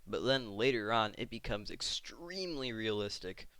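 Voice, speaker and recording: A man's voice: on the low side, lively intonation, normal speed, fairly bright tone, dry recording. A man hosting a video essay in an informal, casual commentary style, occasionally stumbling over his words.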